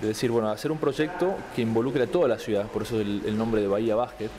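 A man's voice: lowish voice, quick pace, a crowd in the background. A man in his thirties with an Argentine accent, answering interview questions thoughtfully, with many restarts. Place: indoor room, seated on a couch. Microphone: handheld mic held out by interviewer.

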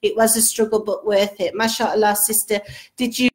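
A woman's voice: medium pitch, steady tone, moderate pace. A middle-aged woman hosting a casual live stream from her sofa at home, reading out viewer comments and chatting with her young daughter beside her. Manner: chatty, warm-toned aside to her daughter, many restarts. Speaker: host. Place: living room sofa at home. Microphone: webcam at close range.

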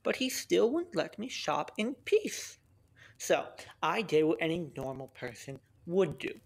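A woman's voice: fairly low pitch, sing-song, unhurried, creaky, heavily acted. A woman playing an earnest, opinionated friend who tells a story matter-of-factly in a comic radio play. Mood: earnest storytelling.

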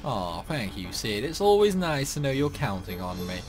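Young man, game commentator, rambling, animated pitch, casual. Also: normal speed, soft background music. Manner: chatty, rambling, lots of likes and uhs. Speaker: gaming youtuber.

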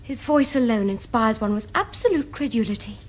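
A woman's voice: a little high, lively intonation, brisk. An actress playing a prim, romantic young lady with an upper-class English accent, speaking earnestly and precisely. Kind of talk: period television comedy acting.

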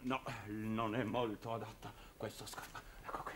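An actor playing a fretful, blustering middle-aged circus uncle in a 1960s TV drama, dithering and repeating himself theatrically. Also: male voice, fairly low pitch, flat delivery, noisy background, lightly acted. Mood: pleased, musing. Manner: dithering, repetitive, halting, theatrical. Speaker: actor in a television series. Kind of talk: 1960s Italian TV drama acting. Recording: vintage 1960s television soundtrack.

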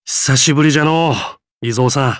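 Affable, easygoing gentleman voiced by a man, dry studio sound. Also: medium pitch, brisk, no echo, close to an everyday voice. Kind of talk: game character voice lines.

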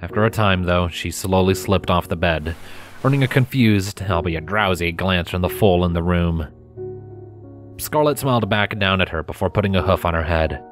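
A man narrating scripted fan fiction in a measured, dramatic storytelling manner. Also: sits low, faint music behind.

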